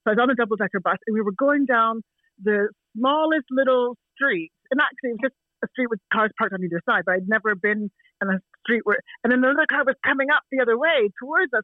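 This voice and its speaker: A woman's voice: a little high, no room sound. A Canadian woman in her forties, a chatty guest who tells stories and laughs often. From Canada, Toronto. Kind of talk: casual chat over a call. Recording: dry tinny phone line.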